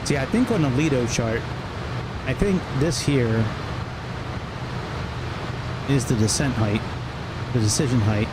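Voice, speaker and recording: A man's voice: mid-range voice, measured pace, long pauses. A man casually commentating a live flight sim stream, muttering to himself informally as he works. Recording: loud aircraft engine drone behind voice. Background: steady simulated jet cockpit noise.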